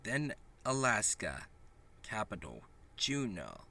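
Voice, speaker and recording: A man reading a children's picture book aloud in a halting, deliberate way, with small stumbles. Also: lowish voice, wildly swooping pitch, pushed and bright. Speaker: storybook reader.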